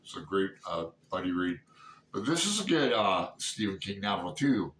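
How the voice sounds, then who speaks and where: A man in his sixties chatting casually and unscripted about books, halting and rambling as he thinks aloud. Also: low-pitched, big pitch swings, fairly bright tone. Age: 60s. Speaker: book reviewer vlogger. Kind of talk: casual unscripted book chat. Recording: roomy echo, distant mic, background noise.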